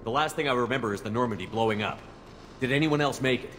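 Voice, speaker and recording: An actor playing a wary, resolute military commander in a video game, terse and firm. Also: male voice, medium pitch, fast talker, a bit bright, slight background noise, close to an everyday voice. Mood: concerned.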